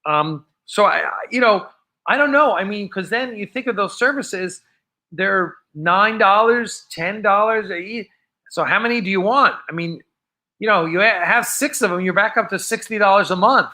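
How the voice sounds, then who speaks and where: An American man hosting a casual live fan show, chatting off the cuff in a rambling, halting way that often trails off. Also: high-pitched, bright, forceful tone. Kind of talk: casual unscripted livestream chat.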